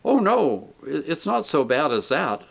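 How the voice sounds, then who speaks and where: A man voicing a radiant male spirit who answers calmly and patiently. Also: a little high, unhurried, gravelly, heavily acted. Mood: serene. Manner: calm, patient, gently reassuring. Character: radiant joyful male spirit, calm and gentle.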